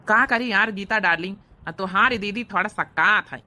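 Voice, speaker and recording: A woman doing a flirty village husband, pitched down. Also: moderate pace, bright, forceful tone, a little hiss or hum. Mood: flirty, sheepish. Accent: Awadhi. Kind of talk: Awadhi comedy cartoon voice acting.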